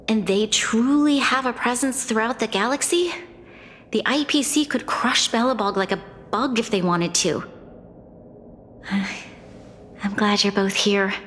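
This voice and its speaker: A woman playing an earnest, burdened young leader of a city in a video game, speaking in a formal, measured way. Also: medium pitch, fairly bright tone, a little hiss or hum, natural voice. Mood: worried, pleading. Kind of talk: video game cutscene voice acting. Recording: long hall reverb, background music.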